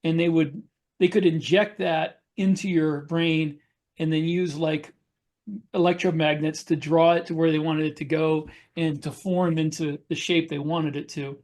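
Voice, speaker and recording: Higher-voiced man in measured podcast chat. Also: steady tone, darkish tone. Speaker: podcast panelist and author. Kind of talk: casual podcast discussion.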